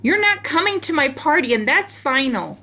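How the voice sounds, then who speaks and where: Woman doing a loud, bragging schoolboy bully. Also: high voice, very animated, moderate pace, pitched above the performer's own voice, full character voice. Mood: angry. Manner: loud, taunting. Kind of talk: children's storybook read-aloud.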